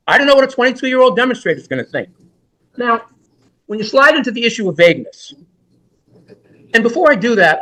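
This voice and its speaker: A man's voice: sits high, fairly bright tone, rough recording. A man in his sixties, a defense lawyer, making an oral argument in court in an emphatic, rhetorical and repetitive way.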